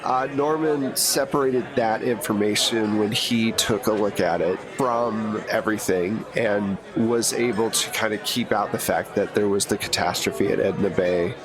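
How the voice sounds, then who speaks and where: A man narrating as game master in a tabletop role-playing session, explaining scenes in a relaxed, laid-back way. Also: lowish voice, normal speed, hardly any pauses, crowd noise.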